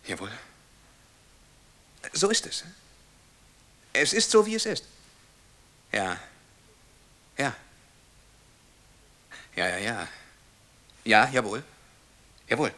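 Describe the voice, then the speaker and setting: Flustered, servile clerk, bright forceful voice. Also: male voice, on the low side, exaggerated voice. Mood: flustered, submissive. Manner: stammering, obsequious, officious, swinging into indignation. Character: servile, flustered male state telephone exchange clerk. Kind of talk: German radio play acting.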